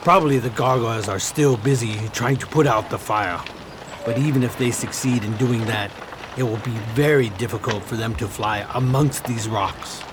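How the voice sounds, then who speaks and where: A man playing a knowing, reassuring guide in a radio play, husky-voiced and explanatory. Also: medium pitch, moderate pace, background noise, natural voice. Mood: calm, explanatory.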